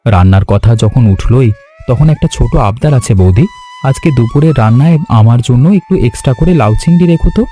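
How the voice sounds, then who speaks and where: A man acts a young village delivery man in a cartoon. He is chatty and coaxing, with a mid-pitched, mellow voice over faint music. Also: animated, quick pace, close to an everyday voice. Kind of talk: animated story dialogue.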